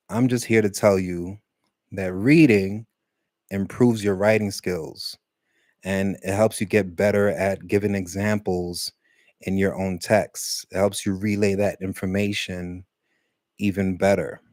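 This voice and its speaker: A man teaching English to adult learners in a loose, rambling talk, full of fillers and slowing down to stress key points. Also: sits low, flat delivery, a little mellow, no echo, clean studio sound. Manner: conversational, many fillers, speeds up and slows for emphasis. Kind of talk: casual rambling lesson talk.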